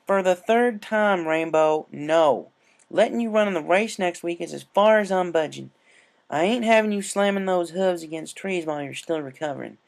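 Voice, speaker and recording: A man's voice: high-pitched, moderate pace, creaky, voice pushed up. A man voicing a stubborn, caring cartoon farm pony, a mare with folksy country phrasing. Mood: firm, concerned.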